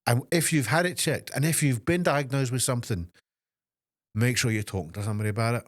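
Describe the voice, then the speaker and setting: A Scottish man in his fifties, a fitness trainer hosting a solo podcast, speaking in an emotional, halting way with many restarts. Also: mid-range voice, no echo, clean studio sound. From Scotland. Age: 50s. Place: small podcast studio.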